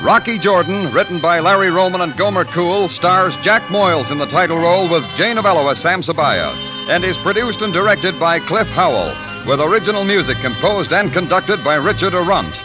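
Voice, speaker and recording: A man's voice: high-pitched, animated, a little fast. Polished man announcing on old radio. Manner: polished and emphatic. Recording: dull old radio recording with music.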